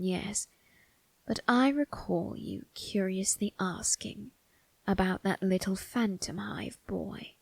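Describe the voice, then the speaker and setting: Woman as a creaky, husky ancient undertaker. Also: mid-range voice, a little mellow, dry recording. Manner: slow, formal, teasing delivery with drawn-out tag questions. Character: eerie, teasing, ancient male undertaker, sly and amused. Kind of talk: ASMR character roleplay.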